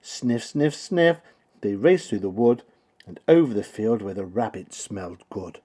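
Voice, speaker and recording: A man narrates a rhyming children's picture book in a theatrical, rhythmic way. His pitch moves around in a very lively manner, and the voice has a slightly husky edge. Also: measured pace. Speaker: storybook reader.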